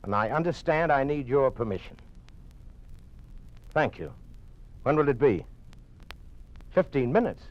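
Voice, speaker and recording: A man's voice: mid-range voice, expressive, unhurried, long pauses, natural voice. Dull old radio recording, actor as defiant male doctor. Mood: grave, restrained. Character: defiant, suspicious male doctor in a police state. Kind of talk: old-time radio drama acting.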